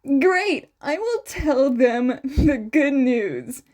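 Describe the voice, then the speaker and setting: A woman voicing a loud, cheerful, excitable uncle in a story reading. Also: sits high, extremely slow, heavily acted. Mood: upbeat, fond.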